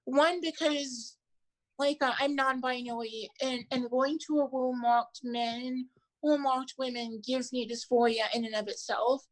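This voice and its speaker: A woman's voice: high voice, steady tone, measured pace, low-quality recording. A woman on a podcast panel talking earnestly in casual chat, leaning on "like" a lot. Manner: earnest, heavy use of "like".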